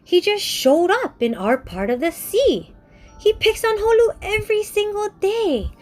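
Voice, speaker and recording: Woman voicing an excitable high-pitched young sea creature. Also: a little slow, soft background music, full character voice. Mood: earnest, upset. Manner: high, excited, earnest complaining. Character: Kai, small scared young sea creature friend.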